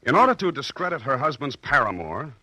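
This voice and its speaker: A man's voice: medium pitch, big pitch swings, brisk, sharp tone, no echo. An American actor playing a wisecracking, cocky male private detective in a wry, mock-pompous 1940s radio style.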